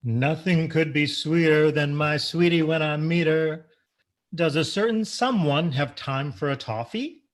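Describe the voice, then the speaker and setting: A cloying, courtly little admirer, acted by a man in a radio comedy. He sweet-talks in a fairly high male voice. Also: unhurried, natural voice. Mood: sweet-talking. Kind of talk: old-time radio comedy acting.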